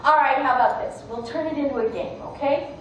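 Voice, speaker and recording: A woman's voice: high voice, brisk, close to an everyday voice. A woman storyteller voicing a treacherous, wheedling snake who mocks as readily as it coaxes, in a folktale. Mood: taunting. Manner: wheedling, mocking. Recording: echoey room, distant mic, faint noise.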